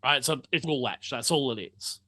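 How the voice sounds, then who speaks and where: A man narrating a tabletop game as game master, setting scenes in a halting, conversational way. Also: medium pitch, bright, forceful tone, no room sound, studio quality.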